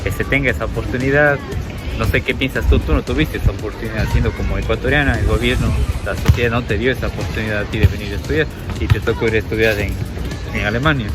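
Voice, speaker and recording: A man from Ecuador, interviewing a guest with quick, leading questions. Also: lowish voice. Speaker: interviewer, vlog host. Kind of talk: casual interview. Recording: outdoors with loud street crowd and music.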